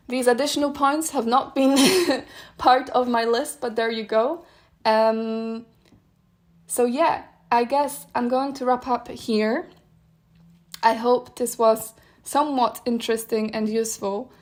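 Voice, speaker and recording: A young woman whose first language is Polish, hosting a solo podcast and reflecting aloud in a thoughtful, meandering way with soft laughs. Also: on the high side. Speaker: podcast host.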